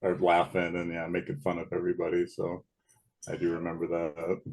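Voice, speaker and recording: A man in his late thirties with a big booming voice, a guest chatting casually in a laid-back, rambling way. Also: completely flat delivery, a little fast, darkish tone, poor audio. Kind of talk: casual chat.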